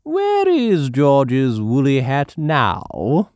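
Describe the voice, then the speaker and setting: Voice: medium pitch, huge pitch swings, extremely slow, darkish tone, dry recording, voice pushed up, full character voice. A storyteller voicing a jolly, spirited old granny pig in a children's storybook. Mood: chiding, curious. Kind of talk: children's storybook read aloud.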